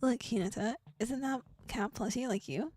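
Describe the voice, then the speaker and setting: A woman's voice: fairly high pitch, moderate pace, a little mellow, slightly rough. A woman voicing a clingy, jealous young man who pleads and accuses in a visual novel. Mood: cheerful. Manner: pleading and accusing, whispers menacingly. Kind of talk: visual novel dialogue reading.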